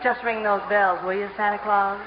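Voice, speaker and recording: A woman's voice: mid-range voice, level tone, normal speed, roomy sound, background noise, lightly acted. An actress playing a sweet, polite young woman collecting for charity, in 1950s radio comedy style. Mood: gently pleading. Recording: muffled old radio broadcast, loud music.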